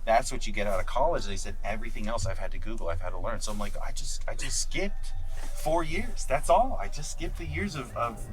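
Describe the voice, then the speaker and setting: A man's voice: medium pitch, fairly quick, soft background music. A man from California chatting casually with a passenger, telling stories and quoting himself in easy asides. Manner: relaxed, chatty, storytelling with quoted asides. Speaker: rideshare driver and host. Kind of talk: casual chat. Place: inside a car. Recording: noisy car interior. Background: car road noise.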